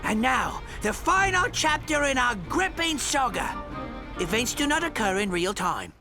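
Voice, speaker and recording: Timid robot pirate sidekick telling a tale, high voice, swoopy and slow, music behind. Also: male voice, sing-song, heavily acted. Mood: storytelling. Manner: storyteller, nervous and eager to please. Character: meek robot pirate first mate narrating a tale. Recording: game audio with music behind.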